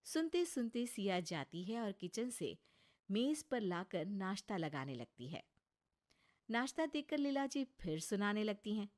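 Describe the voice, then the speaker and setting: A woman's voice: medium pitch, big pitch swings, a little slow, a little mellow. A woman narrating a scripted story as a storyteller.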